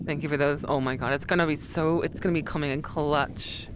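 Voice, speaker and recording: A woman's voice: low voice, fairly even intonation, a little fast, background noise. A woman streaming and chatting casually with her viewers, rambling and calling them sweetie.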